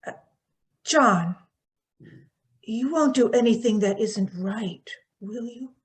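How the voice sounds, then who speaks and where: A woman playing a judge's anxious, gentle wife, hesitant and concerned, in old-time radio drama style. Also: a little high. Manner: hesitant, drawn-out. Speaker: actor in a radio play.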